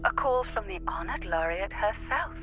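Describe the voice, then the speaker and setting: A woman's voice: mid-range voice, expressive, moderate pace, highs cut off, over music. A woman playing a refined, knowing noblewoman in a video game, talking formally and teasingly through a call filter. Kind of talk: video game character acting.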